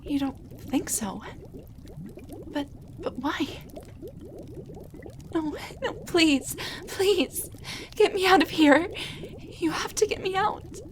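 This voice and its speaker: Woman playing a high-pitched, pleading tiny enchanted doll. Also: animated, slow pace, long pauses, background noise, heavily acted. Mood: urgent, then panicked. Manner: breathy, hesitant, urgent pleading. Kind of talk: roleplay audio drama acting.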